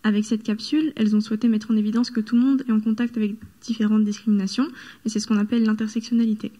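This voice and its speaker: A woman's voice: mid-range voice, flat delivery, racing, warm tone. A young woman presenting a youth radio show, reading from a script. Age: young adult. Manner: reads from a script.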